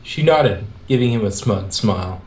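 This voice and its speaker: A man's voice: on the low side, expressive, normal speed, a little mellow, a little hiss or hum. A man reading fan fiction aloud, switching between scripted narration and character dialogue. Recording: echoey room, amateur recording.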